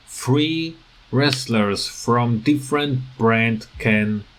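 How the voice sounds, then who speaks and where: A man with a command of talks through his opinions in an informal, unscripted rant. His delivery is slow and halting, with repeated words as he searches for the next phrase. His pitch sits in an ordinary middle range for a man. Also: a bit bright, a little hiss or hum, rough recording. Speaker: video creator giving commentary.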